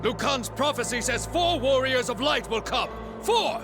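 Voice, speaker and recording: Scared shrill villager lady accusing people, really high voice, game music. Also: lively intonation, a little slow. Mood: angry, accusing. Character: fearful, accusing townsperson. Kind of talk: dramatic video game acting.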